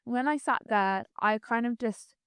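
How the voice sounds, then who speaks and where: A woman's voice: on the high side, a little slow, a bit bright, clear, resonant tone, dry recording. A woman, talking reflectively as a podcast guest, full of likes and you-knows. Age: 20s. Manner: chatty, reflective, many "like"s and "you know"s. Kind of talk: casual conversational interview.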